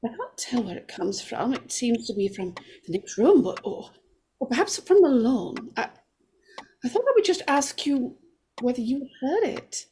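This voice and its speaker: A woman plays a nervous young sister in an old-time radio mystery. Her very high, sweet voice halts and hesitates as she confides her worries. Faint noise sits behind it. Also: big pitch swings, mellow. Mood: uneasy. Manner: halting, tentative.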